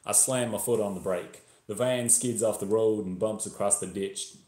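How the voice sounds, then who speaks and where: A man's voice: on the low side, normal speed, dark tone, natural voice. A man voicing a tense, hard-boiled Texan narrator of a crime thriller, with an attempted Texas accent. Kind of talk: first-person audiobook-style thriller reading. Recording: roomy echo, live video stream.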